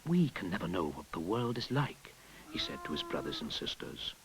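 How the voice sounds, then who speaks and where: Deep-voiced man telling a folktale. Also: normal speed, low-quality recording. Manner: expressive storytelling, drops to a whisper at hushed moments. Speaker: narrator. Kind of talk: storybook narration over music. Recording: narration with clear music behind.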